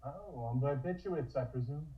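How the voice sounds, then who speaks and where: A man's voice: mid-range voice, animated, moderate pace, highs cut off, close to an everyday voice. A sceptical police detective played by a man questions in a clipped, businesslike way. It sounds like a drama table read, with the voice distant and dulled on an echoey call.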